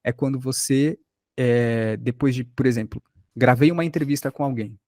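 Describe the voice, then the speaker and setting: A man's voice: medium pitch, moderate pace, dark tone, no echo, studio quality. A Brazilian man giving an informal lesson as a workshop instructor, explaining by example with rhetorical questions and check-ins.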